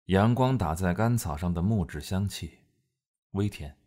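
A man acting an aloof young male officer in an audio drama, terse and cool with a guarded soft side. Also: low voice, expressive, measured pace. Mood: cool, teasing.

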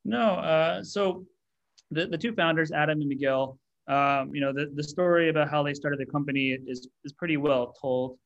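An American man in his thirties, a startup CEO answering interview questions in a relaxed way with many fillers. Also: a little high, moderate pace, creaky, dull audio. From United States. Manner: relaxed, many ums and you-knows.

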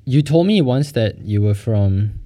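A man's voice: medium pitch, animated, unhurried, dark tone. A man hosting a casual chat, curious and chatty, asking follow-up questions. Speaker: host.

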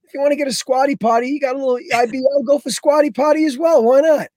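Male co-host, raspy and nasal from allergies, upbeat and jokey, high-set voice, dead-dry booth sound. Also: fast, dry recording. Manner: lively, animated, joking. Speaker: fitness instructor and podcast co-host. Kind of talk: casual podcast chat.

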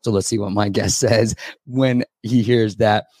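A man hosting a podcast with a raspy voice, talking in an upbeat, chatty way with quick asides. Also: lowish voice, fairly even intonation, darkish tone, no room sound, clean studio sound. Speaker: podcast host. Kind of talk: casual interview.

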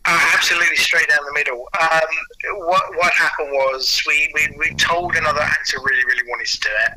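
A man's voice: a little high, fairly even intonation, a little fast, pushed and bright, slight background noise, low-quality recording. A man speaking as an interview guest, enthusiastic and anecdotal in casual chat. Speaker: audio producer and actor, interview guest.

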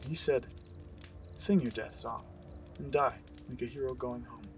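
A man acting a young army scout in a western radio play. His mid-pitched voice is earnest and composed, proud and resolute, with faint music underneath. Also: steady tone, measured pace, far from the mic, close to an everyday voice. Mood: reflective. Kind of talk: western audio drama acting. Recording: music behind the voice.

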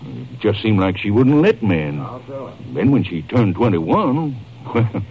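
An actor playing a humble, remorseful guardian of a troubled young woman, speaking haltingly in a 1950s radio drama. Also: medium pitch, animated, gravelly. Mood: downcast, apologetic. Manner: halting, apologetic. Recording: muffled, noisy old-time radio recording.

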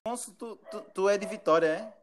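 Man, podcast host, slightly high voice, loose laughing chat. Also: quick pace, crowd noise. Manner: laughs often, trails off and restarts. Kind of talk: casual interview chat.

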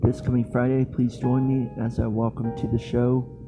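A man hosting a podcast, reading an outro in halting, short phrases. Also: mid-range voice, little pitch movement. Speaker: podcast host. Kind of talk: scripted podcast sign-off. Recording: dull lo-fi audio. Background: prominent plucked guitar music.

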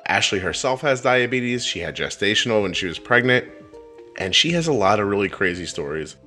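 A man in his forties hosts a podcast with a bright, lively voice that swoops up and down, playful and quick to poke fun at himself. His speech sits a little low, and he often stumbles over his words. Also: soft background music. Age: 40s. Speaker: podcast host. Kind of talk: podcast intro and interview.

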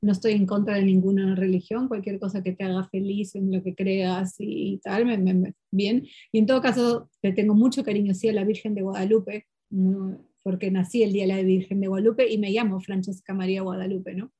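Woman interview guest, Portuguese speaker; lively storyteller full of fillers and self-corrections. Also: mid-range voice, brisk, hardly any pauses, breathy edge. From Peru. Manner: lively, animated, many fillers and restarts. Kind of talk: casual conversational storytelling.

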